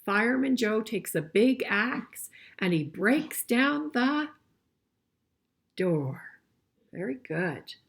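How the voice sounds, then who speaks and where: Older woman with animated storytime delivery. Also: a little high, slow, vocal fry, pauses often, slight background noise. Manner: expressive, asking listeners questions as she goes. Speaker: grandmother reading a picture book to children. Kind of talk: children's storybook read-aloud with conversational asides and questions.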